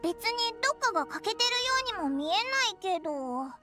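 Very high, childlike fairy sidekick. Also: female voice, quick pace. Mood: puzzled. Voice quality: squeaky, childlike. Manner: boyish casual speech, excitable. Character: tiny floating fairy companion, childlike, cheerful, brash. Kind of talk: Japanese game character acting. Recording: music playing behind the voice.

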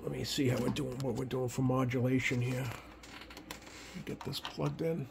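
A man speaks off the cuff to his viewers while busy with his hands. His delivery is halting, as if he is working things out aloud. His voice sits at an average pitch, recorded indoors on a handheld device with noticeable background noise. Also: flat delivery, creaky. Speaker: radio repair hobbyist, video host. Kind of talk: casual unscripted demonstration talk to viewers. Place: indoor home electronics workbench.

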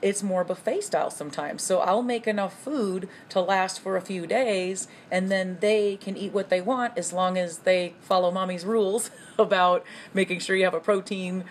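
A woman in her thirties explaining meal prep in a casual teaching talk from her kitchen, often checking in with "okay?" and filling pauses with "um". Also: medium pitch, a little hiss or hum. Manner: chatty, frequent "okay?" check-ins and "um" fillers. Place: home kitchen, indoors.

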